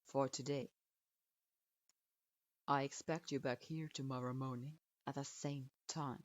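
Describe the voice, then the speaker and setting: A woman's voice: extremely low pitch, fairly even intonation, a little slow, pitched below the performer's own voice. A woman voicing a stern, cold male ninja teacher who gives curt, clipped commands. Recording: dull lo-fi.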